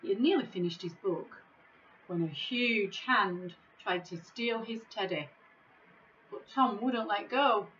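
A middle-aged woman reading a children's storybook in a measured, expressive way, recorded on a device microphone in an office. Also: medium pitch, muffled recording, slight background noise. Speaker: school staff member reading a bedtime story. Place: small office, indoors.

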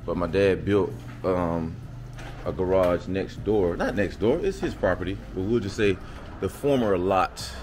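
A man's voice: low voice, darkish tone. A man, filming a casual family vlog, laid-back and slangy, calling everyone "man" and "y'all". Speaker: family vlogger. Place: outdoors at a garage, then a dining room, then a car.

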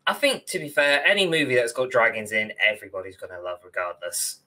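Young male podcast co-host, bright and forward tone, casual discussion on a video call. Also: mid-range voice, very animated, fast talker. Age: young adult. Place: indoor room on a video call.

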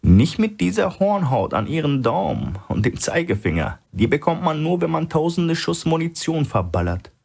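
A man's voice: fairly high pitch, very animated, moderate pace, creaky, hardly any pauses, a little mellow, slightly rough, voice pushed up, heavily acted. A man voicing a shrewd, steely male hostage with a blunt, dry manner in a thriller audiobook. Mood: dry, probing.

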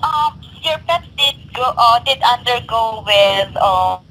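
A woman with a highish, bright voice handles a customer service call. She is polite and hesitant, and the phone line carries some noise. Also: animated, slow. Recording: noisy telephone line.

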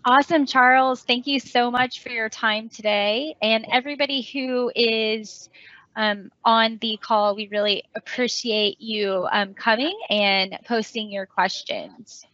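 Bright higher-voiced woman hosting. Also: steady tone, unhurried, no room sound. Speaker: event host. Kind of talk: webinar closing remarks. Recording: dry, slightly dull call audio.

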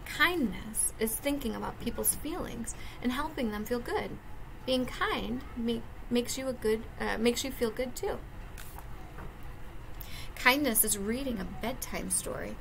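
A woman's voice: fairly high pitch, unhurried. A young woman reading a picture book aloud as a preschool teacher, adding simple explanations for young children. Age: young adult. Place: indoors, classroom. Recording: clear background noise, webcam-style room recording.

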